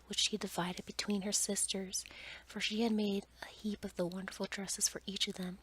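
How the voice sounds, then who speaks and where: A woman softly whispering a bedtime story in ASMR style, with a breathy, gentle delivery. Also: medium pitch, rough recording. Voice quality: whispered, breathy, mostly unvoiced. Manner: soft, gentle reading with little change between characters. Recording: noticeable background noise.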